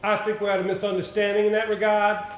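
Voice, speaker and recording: An actor playing an earnest, troubled gentleman in an amateur stage play, speaking formally and hesitantly. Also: high-pitched, flat delivery, fast talker, close to an everyday voice. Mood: earnest, defensive. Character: earnest, troubled gentleman, an untrained nervous reader. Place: indoor theatre stage. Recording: roomy stage recording with faint noise.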